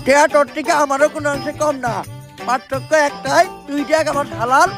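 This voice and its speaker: A brash, foul-mouthed betting tout played by a performer with a very high voice. The patter is crude and taunting, made for a comic cartoon skit. Music plays clearly behind the voice. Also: fairly even intonation, brisk, close to an everyday voice. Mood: scornful. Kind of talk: comic dubbed skit dialogue.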